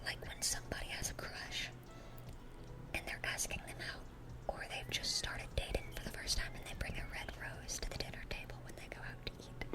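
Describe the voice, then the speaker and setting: A woman playing a dorky, smitten young demon girl in a gentle, rambling, affectionate ASMR roleplay. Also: a little fast, close to an everyday voice. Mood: earnest, enthusiastic.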